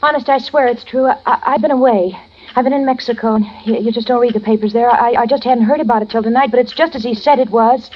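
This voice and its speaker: A woman's voice: a little high, fast, close to an everyday voice. An actress playing a desperate young woman pleading as a witness in a vintage radio drama, stammering and insistent. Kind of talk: 1940s-50s radio drama acting. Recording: muffled old radio recording.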